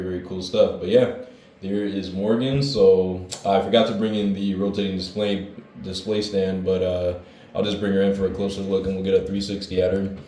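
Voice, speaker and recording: Guy reviewing a toy in his room, low flat voice, kinda hoarse from a cold, echoey. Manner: relaxed, rambling, with many fillers. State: hoarse, getting over a cold. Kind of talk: casual toy review vlog. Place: his room with hardwood floor.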